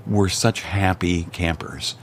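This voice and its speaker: American man, low voice, unhurried guest telling stories with faint background noise. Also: steady tone. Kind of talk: casual chat.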